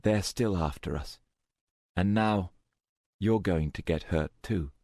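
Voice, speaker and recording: A man's voice: low voice, slow, darkish tone, no room sound, clean studio sound. A man voicing a frightened, weary young woman who speaks in a hesitant, strained way. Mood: fearful.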